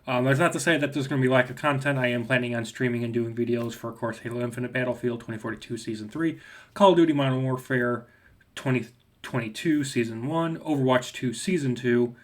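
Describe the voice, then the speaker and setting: A young man with a slight cold talks through a casual movie review. He rambles, filling gaps with ums and restarting his sentences. His voice sits at an ordinary male pitch. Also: fast talker. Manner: rambling, with many fillers and restarts. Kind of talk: casual video review rant.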